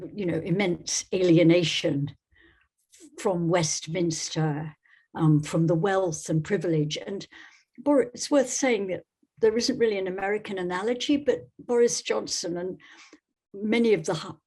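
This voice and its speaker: A woman from Scotland giving thoughtful, halting conversational answers as a podcast guest. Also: fairly low pitch, a little mellow, husky.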